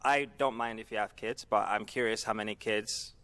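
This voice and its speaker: A man's voice: on the low side, fairly even intonation, normal speed, pushed and bright, clean tone, dry recording. A man playing bachelor on a blind-date show, joking around as he asks his questions. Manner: playful, joking. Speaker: bachelor contestant. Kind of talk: casual game-show talk.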